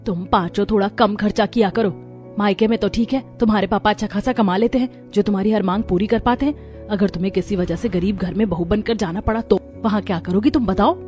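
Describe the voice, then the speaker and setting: A woman's voice: mid-range voice, brisk, a little mellow, faint music behind, close to an everyday voice. A woman playing a worried, scolding middle-aged mother in a moral story, speaking in an earnest, admonishing way. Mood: fond scolding. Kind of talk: Hindi moral story voice acting.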